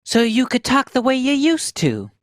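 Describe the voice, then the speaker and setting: Woman playing a doting, animated, high-voiced mother. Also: normal speed, no echo, studio quality. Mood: sympathetic, coaxing. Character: doting, fussy, hospitable small-town mother. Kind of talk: cold-read sci-fi radio play.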